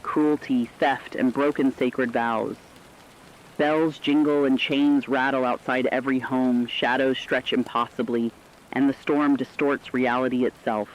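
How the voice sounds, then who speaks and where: A man's voice: medium pitch, lo-fi sound, slight background noise, close to an everyday voice. A man playing a solemn radio host, warning listeners of supernatural doom in portentous, emphatic scripted narration.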